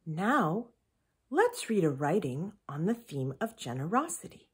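A young woman reading aloud and reflecting for children in a deliberate, carefully enunciated way. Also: lowish voice, very animated, breathy edge. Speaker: children's story reader and presenter. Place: indoors in a home room with windows.

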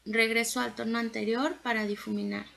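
A woman's voice: fairly high pitch, level tone, a little fast, creaky, fairly bright tone, rough recording. A woman, giving a casual, instructive makeup tutorial as a beauty vlogger. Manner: chatty, instructive. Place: bedroom at home.

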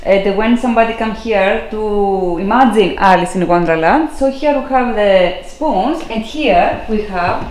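A woman's voice: mid-range voice, darkish tone. A woman, explaining things as a tour guide and searching for words in English. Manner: halting, searching for words in non-native English. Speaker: museum founder giving a tour. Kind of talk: interview answers, explaining. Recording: clear ambient background noise.

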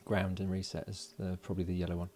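A man casually talking through hands-on electronics work, unhurried and thinking aloud. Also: deep voice, flat delivery, a little mellow, a little hiss or hum. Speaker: host of an electronics build video. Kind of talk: casual hands-on tutorial narration. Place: indoors at a workbench.

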